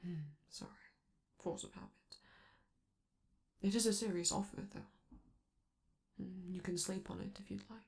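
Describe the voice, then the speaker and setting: A woman playing a flamboyant, protective robot entertainer in an intimate ASMR comfort roleplay, speaking softly and theatrically with affection, hesitance and breathy drops into whispers. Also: mid-range voice, fairly even intonation, normal speed, pauses often, lightly acted. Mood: reassuring, protective.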